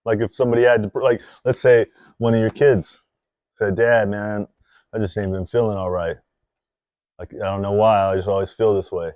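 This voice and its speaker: A man hosting a podcast, asking his guest questions in a casual, relaxed way. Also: fairly low pitch, moderate pace, no room sound, lo-fi sound. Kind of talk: casual chat.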